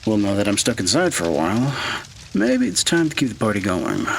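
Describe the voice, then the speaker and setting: A man's voice: on the low side, wildly swooping pitch, brisk, gravelly, slight background noise, heavily acted. A man playing a cocky, dismissive skier. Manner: irritated, offhand, sighing. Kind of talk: audio drama acting.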